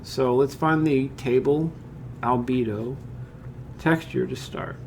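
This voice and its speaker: Casual man with a slightly creaky voice. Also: mid-range voice, fairly even intonation, slow pace, a little mellow, slight background noise. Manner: casual, thinking aloud, addresses viewers as guys. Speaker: tutorial host. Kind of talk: informal screen-share software tutorial, talking through steps while working.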